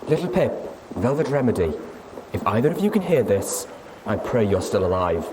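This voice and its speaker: A man's voice: lowish voice, big pitch swings, normal speed, pitched above the performer's own voice. A man voicing a desperate mare leader who pleads urgently in a distress broadcast. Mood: pleading. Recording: clearly noisy recording.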